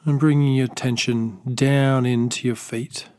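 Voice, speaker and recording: Soft-spoken man guiding a meditation. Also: medium pitch, steady tone, clear, resonant tone. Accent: Australian. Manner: slow, soft-spoken, gentle guidance with pauses; unscripted, with small restarts. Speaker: meditation teacher. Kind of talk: guided body scan meditation.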